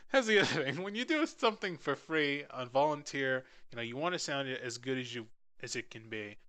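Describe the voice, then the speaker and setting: Bright, clear-voiced young man, casual. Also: medium pitch, expressive, normal speed. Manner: self-deprecating, offhand. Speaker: audio drama editor giving a tutorial. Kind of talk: casual tutorial narration.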